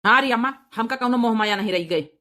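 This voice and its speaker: A woman's voice: a little high, fast talker, bright, forceful tone. A woman voicing a young, hardworking village husband in a comic cartoon, emphatic and wounded, with an Awadhi accent. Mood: bitter resignation.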